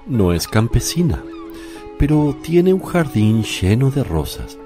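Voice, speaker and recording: Man playing gentle male teacher, religious radio play, unhurried, expressive intonation, solemn reassurance. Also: mid-range voice, soft background music. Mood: reassuring. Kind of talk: religious radio drama acting.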